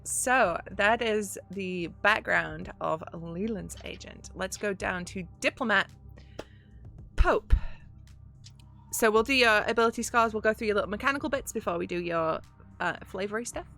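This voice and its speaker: Woman game master, bright clear voice, upbeat, quick to laugh, reads rules aloud, faint music behind. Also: mid-range voice. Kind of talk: running a tabletop game over a stream.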